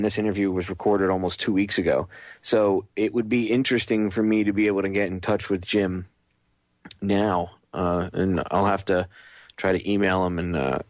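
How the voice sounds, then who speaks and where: A man with a deep voice hosts a radio show. He talks casually and conversationally, straight to his listeners. The sound is dry and close, with no room around it. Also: no room sound.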